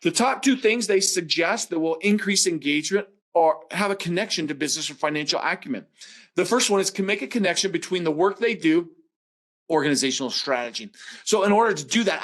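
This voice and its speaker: Higher-voiced middle-aged man presenting. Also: lively intonation, a little fast, fairly bright tone. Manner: explanatory, poses rhetorical questions. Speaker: corporate trainer, webinar presenter. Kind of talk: business webinar presentation.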